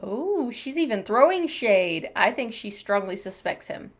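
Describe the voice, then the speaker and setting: A woman's voice: on the high side, very animated, unhurried. A woman giving casual live gameplay commentary as a streamer, with playful, sceptical asides. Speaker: game streamer.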